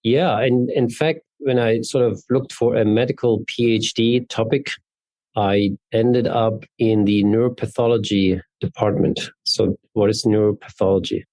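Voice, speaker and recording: A man's voice: on the low side, steady tone, unhurried, darkish tone, no room sound, rough recording. A man in his fifties with a German accent, giving conversational answers as an interview guest. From East Berlin, Germany. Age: 50s. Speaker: interview guest, neurologist and genetics researcher.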